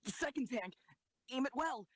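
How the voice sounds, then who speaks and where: A man voicing a meek young tank crew lookout with a breathy voice in an amateur audio drama. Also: very high-pitched, expressive, normal speed, dry recording, clean studio sound, voice pushed up, exaggerated voice. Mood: urgent.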